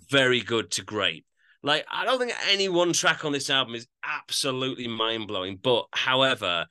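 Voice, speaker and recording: A man's voice: mid-range voice, sharp tone, clean tone, dry recording. A man co-hosting a music podcast, chatting casually as he reviews an album.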